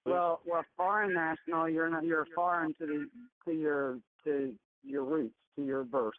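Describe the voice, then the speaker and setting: A woman's voice: deep voice, steady tone, measured pace, slight background noise. A woman from Georgia in the United States explaining things emphatically and repetitively on a conference call she moderates. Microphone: telephone. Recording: muffled tinny phone conference line.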